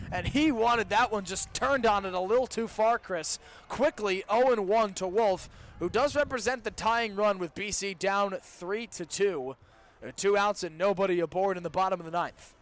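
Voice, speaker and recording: A young man with a high-pitched voice gives live baseball play-by-play on student radio. His calling is detailed and descriptive, jumping in intensity when the action heats up. Light outdoor ballpark noise sits behind him. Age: young adult. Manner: excited surges on big plays, detailed descriptive calling. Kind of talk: live radio sports commentary. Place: outdoor baseball stadium broadcast. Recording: noisy outdoor ballpark ambience.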